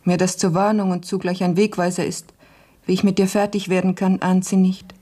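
An actress playing a serious, shrewd young housemaid in a German radio play, speaking plainly and in a subdued way. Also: female voice, fairly low pitch, level tone, brisk, warm tone, close to an everyday voice. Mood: quietly determined.

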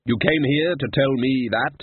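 A man voicing a towering, haughty, immortal Death in a slow, measured, solemn way, on a tinny lo-fi recording. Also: medium pitch, husky, dry recording.

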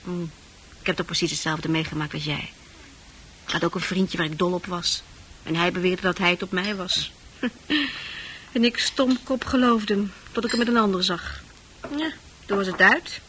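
A woman's voice: on the low side, fairly even intonation, moderate pace, long pauses, fairly bright tone, a little hiss or hum, lightly acted, low-quality recording. An actress in a Dutch radio play, playing a worldly, coaxing woman of easy virtue with a chatty, knowing manner. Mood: consoling. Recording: radio play with music behind.

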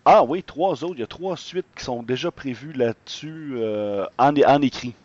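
A man hosting a podcast segment and interview, with florid, earnest and deliberate phrasing. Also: mid-range voice, a little mellow, no echo. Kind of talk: scripted podcast presentation and informal interview. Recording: slightly dull, dry recording.

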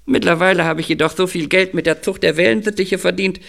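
Faded male screen star: theatrical, raised creaky voice, emphatic audio drama delivery. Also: sits high, fast, a bit bright. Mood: dramatic confession. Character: theatrical ageing male film star, proud, confessing his scheme.

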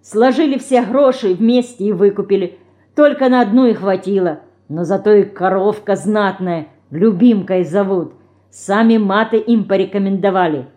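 A woman voicing an excited, gossipy peasant girl from a Ukrainian village, with a Ukrainian accent, in a storybook reading. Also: mid-range voice, moderate pace, lightly acted.

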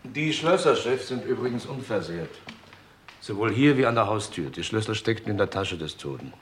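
A man's voice: lowish voice, sing-song, moderate pace. An actor playing a casual, wry police detective reporting his findings in a radio crime drama. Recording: noisy old radio recording.

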